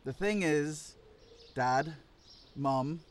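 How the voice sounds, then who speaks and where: An actor playing a weary adult son in an audio play, dry, halting and apologetic. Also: male voice, on the high side. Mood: hesitant, earnest.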